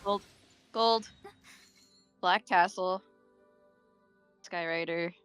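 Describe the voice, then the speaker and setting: A woman's voice: on the low side, extremely slow, a bit bright, smooth, no room sound, faint music behind. A woman chatting casually and playfully while gaming, with plenty of swearing. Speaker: gamer friend pulling alongside.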